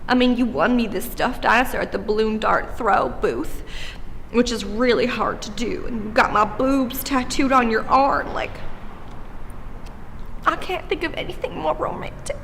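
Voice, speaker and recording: A woman's voice: fairly high pitch, normal speed, gravelly, fairly bright tone, big-room sound, slight background noise. An actress playing a naive small-town girlfriend who gushes sweetly about her boyfriend. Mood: lovestruck. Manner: sweet, starry-eyed, naive gushing.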